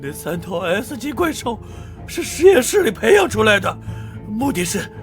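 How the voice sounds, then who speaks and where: An actor playing a fanatical, slippery mad scientist villain in an animated drama, with theatrical delivery. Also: male voice, sits high, normal speed. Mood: panicked, pleading. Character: smug fanatical male mad scientist villain, cowardly when threatened. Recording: loud background music.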